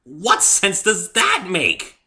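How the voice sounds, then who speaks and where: A wary young man played by a male reader in a storybook tale, incredulous and dry. The voice is very bright and forceful, with lively, animated pitch that climbs on questions. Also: takes it slowly, heavily acted. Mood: exasperated. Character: wary, exasperated young man, bewildered storybook narrator-hero. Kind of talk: amateur dramatic story reading.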